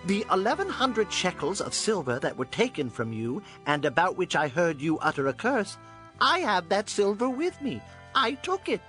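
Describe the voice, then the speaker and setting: An actor playing an excitable, eager man of ancient times in a dramatized audio Bible, emphatic and declamatory. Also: high voice, normal speed, faint music behind, exaggerated voice. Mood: confessing. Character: excitable, eager ancient Israelite and Philistine men.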